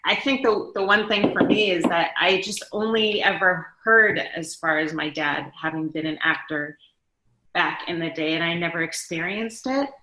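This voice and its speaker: A woman's voice: on the low side, normal speed, bright, forceful tone. A middle-aged woman reminiscing casually as an interview guest, informal and rambling. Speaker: guest, daughter of an interviewed actor. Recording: roomy, echoey sound.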